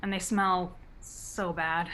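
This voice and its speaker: A woman's voice: lowish voice, little pitch movement, slow pace, fairly bright tone. A woman reading a young college woman with a wry, teasing delivery in a script-reading radio play.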